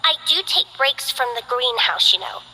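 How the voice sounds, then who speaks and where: A gentle, shy young botanist girl from a game, soft-spoken and a little hesitant. Her voice sits high and bright with a breathy edge. It is heard with a long hall reverb. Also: lively intonation, moderate pace, exaggerated voice. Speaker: game character. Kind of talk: game voice lines.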